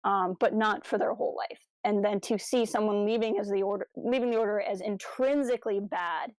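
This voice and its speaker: A woman's voice: mid-range voice, fairly even intonation, a little fast, a little mellow, no room sound, low-quality recording. A woman co-hosting a podcast, talking casually in an earnest, reflective and analytical way. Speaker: podcast co-host.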